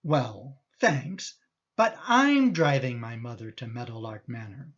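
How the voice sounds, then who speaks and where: An actor playing an exasperated, judgmental adult son in a radio play, questioning and insistent. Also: male voice, mid-range voice, lively intonation, unhurried, a bit bright, muffled recording. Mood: indignant.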